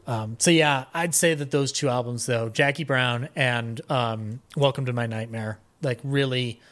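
A man's voice: mid-range voice, steady tone, measured pace, fairly bright tone. Rambling, enthusiastic man chatting as a guest. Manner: rambling, enthusiastic fan talk. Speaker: podcast guest. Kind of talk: casual interview chat.

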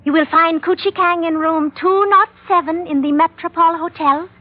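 A woman's voice: very high voice, steady tone, normal speed, soft background music. An actress playing a proud young foreign woman in an old radio adventure, speaking in stilted, grand, formal phrases. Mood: imperious, formal. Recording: muffled vintage radio recording.

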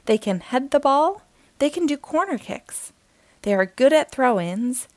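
Woman storytime reader, bright high voice with sing-song lift, dry close mic. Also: dry recording. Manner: slow, expressive, sing-song reading. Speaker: storytime host reading aloud. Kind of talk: children's picture book read-aloud.

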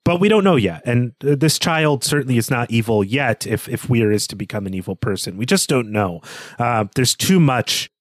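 A man's voice: medium pitch, animated, normal speed, no echo. A man speaking analytically and articulately as a podcast co-host, discussing literature in a conversational way.

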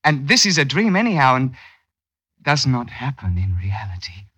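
An actor playing a smooth-talking young thief and ladies' man, wheedling in flowery period speech in an old radio drama. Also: mid-range voice, very animated, normal speed, fairly bright tone, no echo. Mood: dreamy, romantic.

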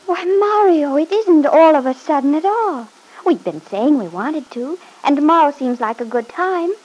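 Young Italian wife, high lively pitch, loving, coaxing, pleading, old radio drama. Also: female voice, normal speed, dull audio. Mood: earnest, pleading. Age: young adult. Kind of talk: classic radio drama acting.

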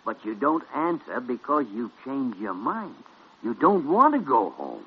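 An actor playing a gruff, sardonic older senior doctor in 1940s radio drama style. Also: male voice, mid-range voice, wildly swooping pitch, unhurried, a little hiss or hum. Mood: coaxing. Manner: blustering, sardonic. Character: gruff, needling old senior doctor, bossy but shrewd. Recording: muffled old radio recording.

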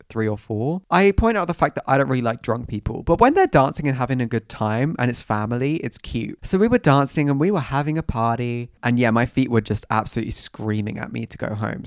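A young man, bunged up and croaky from a cold, telling stories in a dramatic, self-mocking way as a podcast host. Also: mid-range voice, no echo, clean studio sound. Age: 20s. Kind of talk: casual chatty storytelling.

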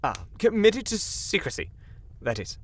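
A man's voice: sits high, very animated, moderate pace. A man playing a nervous, bumbling, obsequious enchanter who stammers and fawns in a fantasy audio drama. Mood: hesitant deference. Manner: stammering, fawning, flustered.

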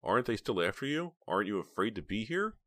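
A man's voice: on the low side, big pitch swings, fast, fairly bright tone, dry recording, clean studio sound, pitched above the performer's own voice. A man voicing a tense, frightened young woman who hisses furious, accusing demands in an audiobook story. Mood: uneasy, reluctant.

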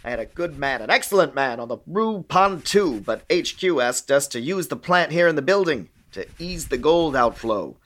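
A man's voice: fairly high pitch, lively intonation, moderate pace, few pauses, fairly bright tone. An actor playing a fussy, dry middle-aged American intelligence official in a radio play, chatty and officious in his talk. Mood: fussy, affable. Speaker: actor in audio drama.

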